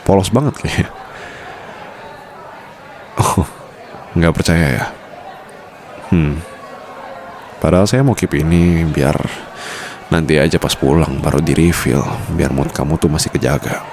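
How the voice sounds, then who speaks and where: Man acting a deep, gravelly mafia boss boyfriend. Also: a little slow, pauses often, a little mellow, some chatter behind, a little hiss or hum. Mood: teasing, calm. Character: possessive, protective mafia boss boyfriend, suave and teasing. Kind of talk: Indonesian boyfriend roleplay ASMR, intimate scripted acting.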